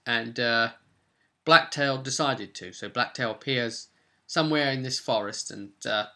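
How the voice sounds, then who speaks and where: Male game master, bright forceful voice, mid pitch, casual and rambling recap of a tabletop campaign. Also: unhurried.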